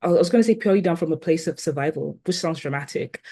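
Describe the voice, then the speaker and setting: A woman in her twenties from Ghana, with an accent that blends Ghanaian and British, reflecting thoughtfully as an interview guest and often pausing on "like" and "um". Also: sits low, dark tone, husky. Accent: blend of Ghanaian and British, now neutralized. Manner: thoughtful, with many "like" and "um" fillers.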